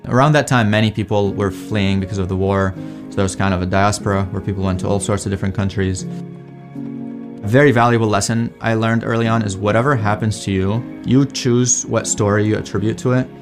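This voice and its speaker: A man's voice: on the low side, steady tone, a little fast. A young man from Iraq casually telling his personal story in an informal, conversational way. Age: young adult. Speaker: alumni testimonial speaker, PhD student. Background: music bed.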